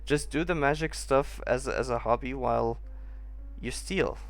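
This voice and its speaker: Male streamer, medium pitch, casual gameplay commentary, thinking aloud about the plot. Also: measured pace, creaky. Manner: reacting aloud, puzzling over the story. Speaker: streamer playing a story game.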